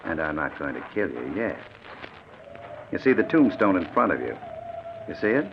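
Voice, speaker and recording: A man's voice: low-pitched, big pitch swings, slight background noise. Cold vengeful gunman in old radio play, swoopy mocking delivery, muffled recording. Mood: menacing, gloating. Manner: mockingly polite, sinister, deliberate taunting. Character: menacing vengeful gunman, coldly taunting and sardonic. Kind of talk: 1940s radio drama acting.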